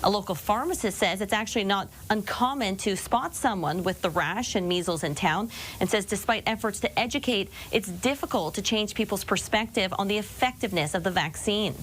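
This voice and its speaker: Female reporter, even informative read, faint background noise. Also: mid-range voice, brisk, few pauses, poor audio. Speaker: field reporter. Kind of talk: news report.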